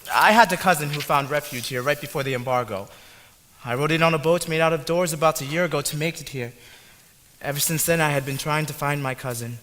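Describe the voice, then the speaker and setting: Man as an earnest, polite young newcomer; bright, fairly high voice; hall reverb, faint noise. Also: fairly quick. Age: young adult. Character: young Cuban immigrant man, polite and lost. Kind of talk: student stage play.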